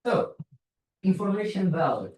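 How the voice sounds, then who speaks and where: A man's voice: high voice, very animated, unhurried, a little mellow. A man from Brazil with a Brazilian Portuguese accent, giving a casual, self-deprecating conference talk as a security consultant. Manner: casual, self-deprecating, frequent "like" and "you know". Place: large conference room. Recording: echoey hall, voice from far off.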